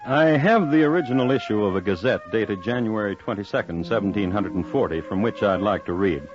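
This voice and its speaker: An actor playing a pompous, genteel crime historian, narrating in a measured, formal 1950s radio style. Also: male voice, on the low side, music in the background, lightly acted. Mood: grave, reciting. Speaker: host-narrator. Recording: dry, muffled old radio recording.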